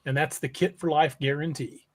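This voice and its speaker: Higher-voiced man hosting a casual livestream. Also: steady tone, moderate pace. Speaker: podcast host.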